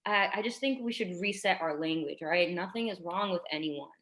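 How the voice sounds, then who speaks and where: A woman's voice: fairly low pitch, a little fast, fairly bright tone. A woman playing an earnest, tactful schoolteacher in her twenties in a staged drama reading, speaking hesitantly and delicately. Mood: careful, reassuring.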